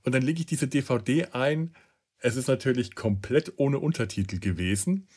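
A man's voice: medium pitch, moderate pace, a little mellow, breathy edge. A middle-aged man, a podcast co-host telling anecdotes in casual chat.

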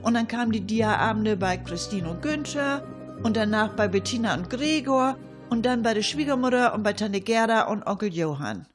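A woman acts out an older lady with a raised, fairly high voice. She rambles colloquially through a comic radio monologue, easily indignant and forever breaking off to address her listeners. There is clear music in the recording. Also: animated, normal speed, lightly acted. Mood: chatty, explaining.

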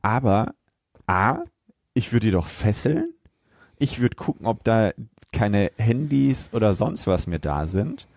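Male podcast co-host, conversational, lowish mellow voice with animated intonation, dry sound. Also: slow, dry recording. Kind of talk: casual chat reviewing a film.

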